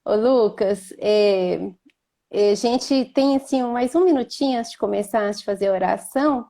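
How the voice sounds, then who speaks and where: Mellow-voiced woman from Minas Gerais. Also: mid-range voice, creaky. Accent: Minas Gerais Portuguese. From Minas Gerais, Brazil. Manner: slow, gentle and devout when praying. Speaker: host. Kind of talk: casual chat and spoken prayer on a live video call. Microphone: video call.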